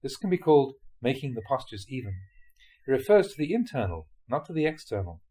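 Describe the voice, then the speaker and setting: A man with a mid-pitched voice reads Buddhist teachings from a prepared text. His phrasing is measured and deliberate, laid out in short clauses. It has the sound of a monk narrating a spiritual audiobook. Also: very animated, mellow. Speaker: Buddhist monk reading translated teachings aloud. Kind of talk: scripted audiobook-style reading of dharma talks.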